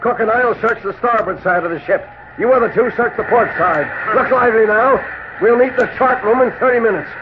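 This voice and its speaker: A man playing a tough, practical sea captain in 1940s radio drama, brisk and barking orders on a noisy old recording. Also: sits high, phone-quality sound, close to an everyday voice. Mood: resolute.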